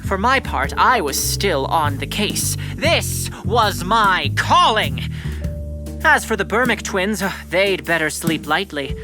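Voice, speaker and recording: A woman's voice: lowish voice, very animated, takes it slowly. A woman playing a schoolboy detective, dramatic and exasperated, in a scripted audio drama with music behind. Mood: dramatic, self-important. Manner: melodramatic, self-important narrator. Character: schoolboy detective, dramatic, exasperated son narrating his case.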